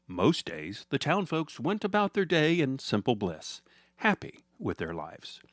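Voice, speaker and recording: A man's voice: mid-range voice, huge pitch swings, unhurried, dry recording, studio quality. A man narrating a scripted comedic story as a storyteller.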